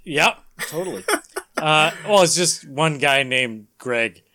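Male podcast host, lighter higher register, casual comedic banter. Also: expressive, slow pace, bright, forceful tone.

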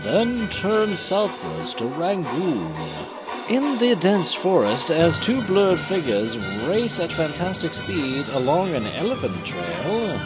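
A man's voice: on the high side, huge pitch swings, slow pace, loud music behind the voice, rough recording. A man hosting a comedy dramatic reading, moving between scripted narration and joking banter. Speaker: podcast host reading comic narration.

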